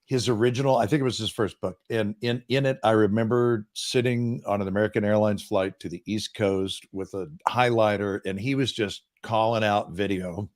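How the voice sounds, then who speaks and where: Male, 60s, lowish voice, earnest self-deprecating coach, close boom mic. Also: fairly even intonation, normal speed, few pauses. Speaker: business coach guest. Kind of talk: casual interview chat. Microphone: boom microphone.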